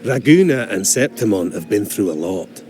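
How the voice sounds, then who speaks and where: A man's voice: fairly low pitch, animated, normal speed, darkish tone, slight background noise, lightly acted. A man doing a folksy old dockhand. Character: friendly older male dockhand who calls the hero lad. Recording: game audio with music underneath.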